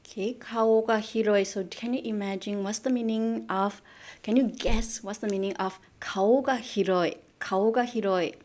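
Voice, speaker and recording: A woman's voice: mid-range voice, steady tone, moderate pace, darkish tone. A woman from Malaysia teaching Japanese idioms in a live online lesson, repeating words and asking viewers questions, switching between English and Japanese.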